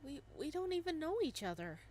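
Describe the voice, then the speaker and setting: A woman voicing a reserved young male florist who answers in a skeptical, deadpan way. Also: sits high, a little fast, pitched above the performer's own voice.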